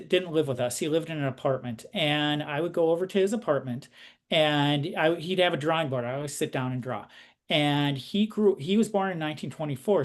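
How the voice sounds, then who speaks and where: A man hosting a conversational interview show, speaking in a halting way and circling back as he explains. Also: on the high side.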